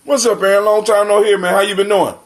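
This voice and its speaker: A man's voice: high-pitched, fairly even intonation, quick pace. An American man hosting a live community talk show, chatting casually and in a folksy way to his listeners like family. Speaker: host of a live call-in show.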